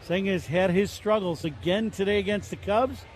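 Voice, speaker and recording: Man, radio color commentator, conversational and analytical, somewhat high voice, faint noise behind. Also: moderate pace.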